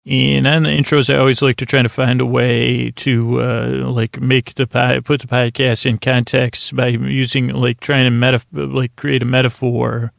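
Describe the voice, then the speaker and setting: A man with a creaky voice hosts a bedtime sleep podcast in a lulling, soothing, meandering monologue, often halting and trailing off mid-thought. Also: mid-range voice, little pitch movement, no room sound, studio quality. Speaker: podcast host.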